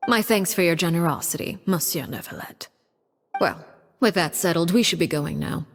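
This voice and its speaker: A woman playing a poised, calculating diplomat in a video game, speaking formally and politely with veiled menace. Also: mid-range voice. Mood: cool, composed. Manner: formal, measured, polite and elegant. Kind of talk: scripted video game voice acting. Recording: long hall reverb.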